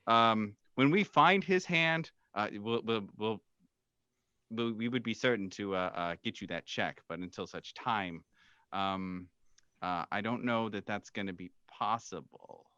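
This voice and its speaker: A man's voice: on the low side, bright, forceful tone, smooth, dry recording, dull audio. A man doing a stammering nervous suit. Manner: stammering, hesitant, halting. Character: nervous middle-aged man in a gray suit, stammering and evasive.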